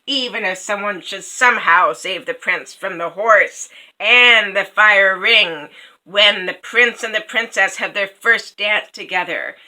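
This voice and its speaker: A woman voicing a cruel, gleeful raven who cackles out a dark prophecy in a loud, high voice. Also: poor audio. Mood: cackling glee.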